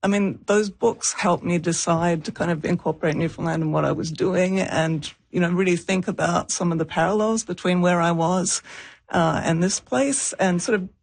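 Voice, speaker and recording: A woman's voice: fairly low pitch, normal speed, vocal fry. A woman who is a professor and press editor, talking chattily in an interview, often laughing and hedging her points. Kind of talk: casual interview talk.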